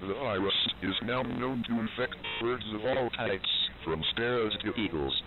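A man's voice: on the low side, normal speed, narrow, tinny audio, slight background noise. A man reading an official emergency alert announcement in a stern, formal tone.

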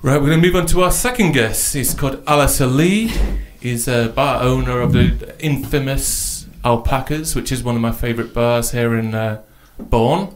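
A man with a mid-pitched voice hosts a live radio talk show. He talks in a casual, comedic chat style into a studio microphone. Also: moderate pace, hardly any pauses.

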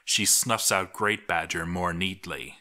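Male narrator for a game story series, lowish pitch, bright forceful tone, theatrical mock-epic delivery. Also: steady tone, a little slow. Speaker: narrator of a video game let's read series. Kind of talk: dramatic scripted narration of game events.

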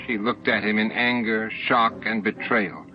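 A man reading a novel aloud as an expressive audiobook narrator. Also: on the low side, unhurried. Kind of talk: expressive scripted book narration. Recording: dull lo-fi audiobook tape recording. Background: music and sound effects.

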